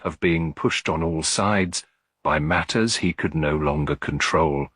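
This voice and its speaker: A man voicing a calm, shrewd elderly lady detective in an audiobook, with a measured, gentle delivery. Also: low voice, husky, dry recording, studio quality, exaggerated voice. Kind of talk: audiobook character dialogue.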